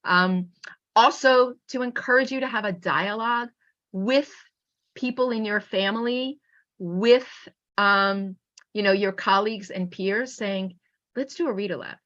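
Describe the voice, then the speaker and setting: A woman teacher giving an informal webinar talk with anecdotes in an animated manner, acting out remarks as she goes. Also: mid-range voice, a little slow, highs cut off. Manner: animated, acts out pupils' remarks. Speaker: teacher and author presenting a webinar.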